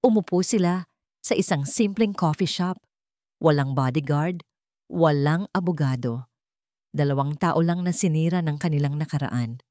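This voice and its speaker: A woman with a deep voice narrates a scripted drama as a storyteller. The recording is very dry, with no room sound. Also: normal speed, mellow, no room sound.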